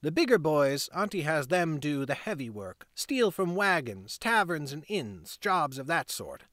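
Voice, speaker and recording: A man voicing a cocky young street pickpocket boy who boasts loudly. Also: very animated, unhurried, dry recording, clean studio sound. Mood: boastful. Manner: boastful, high childish bluster.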